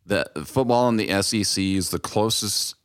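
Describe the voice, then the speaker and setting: A man's voice: lowish voice, normal speed. A man hosting a casual interview, offering analytical comparisons in a slightly hesitant way. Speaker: interview host. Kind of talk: casual chat.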